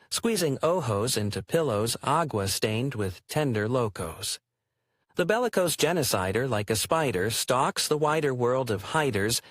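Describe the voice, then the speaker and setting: A man's voice: mid-range voice, breathy edge, dry recording, studio quality. A man performing theatrical spoken-word poetry in a wry, declamatory way.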